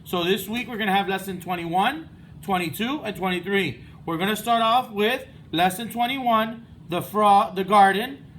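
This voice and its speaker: High-pitched man reading aloud to children. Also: moderate pace, a bit bright, slight background noise, rough recording. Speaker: first-grade teacher. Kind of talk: children's read-aloud narration.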